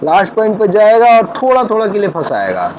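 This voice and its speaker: A man's voice: high-pitched, wildly swooping pitch, brisk, darkish tone, highs cut off, a little hiss or hum. A man doing live puzzle game commentary as host, in a rambling, repetitive way. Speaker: gaming host.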